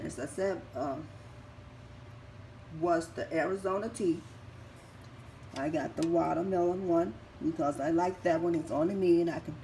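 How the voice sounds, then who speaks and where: A raspy woman, slowly walking through a shopping haul and reading prices off receipts. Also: fairly low pitch, mellow. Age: 50s. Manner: methodical, reads prices off receipts. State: recovering from surgery. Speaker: couponing vlogger. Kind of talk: casual product haul walkthrough. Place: indoor room at home. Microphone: phone camera held over items. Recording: echoey room with faint background noise.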